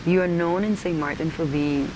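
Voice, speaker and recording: A woman's voice: low-pitched, normal speed. A woman hosting a talk show, introducing and questioning in a conversational interview style. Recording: faint background noise.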